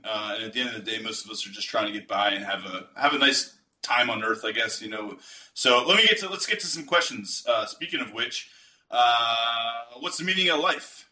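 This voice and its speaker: A man's voice: medium pitch, bright, forceful tone. A man hosting a podcast, chatting casually and asking questions in an informal, joking way. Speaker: podcast host. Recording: roomy echo, distant from mic.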